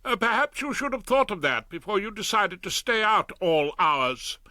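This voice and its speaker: A man's voice: sits high, lively intonation, moderate pace, bright, forceful tone, no room sound, clean studio sound, voice pushed up, full character voice. A man voicing a stern, sarcastic middle-aged wife in an audiobook, reproving and tart. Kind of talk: audiobook character voice.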